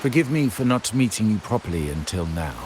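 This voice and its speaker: Scheming crime-family heir: very low, expressive, formal and pompous, video game drama, noticeable hiss behind. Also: male voice, a little fast. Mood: formal, gracious.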